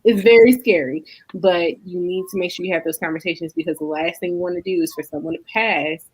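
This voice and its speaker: Emphatic woman with a lower voice. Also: fairly quick, slightly rough, low-quality recording. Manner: emphatic, preachy, animated storytelling. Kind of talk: casual panel conversation.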